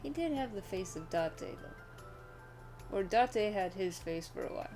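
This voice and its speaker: Female game streamer, casual, medium-pitched, music playing underneath. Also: animated, a little slow, a little mellow. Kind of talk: casual reactions and reading game text aloud. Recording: music behind the voice.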